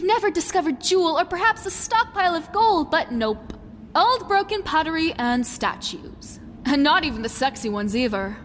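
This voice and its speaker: A woman's voice: very high voice, slight background noise. A woman playing a vain, carefree young woman in an audio drama, with a breezy, teasing, theatrical delivery. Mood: let down.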